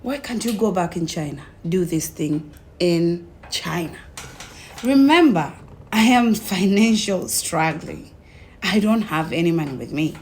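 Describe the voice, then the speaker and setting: A young woman with a Tanzanian accent tells her own story casually, in an animated way, acting out conversations as she goes. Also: lowish voice, a little slow, a little hiss or hum. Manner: animated retelling, acts out quoted conversations.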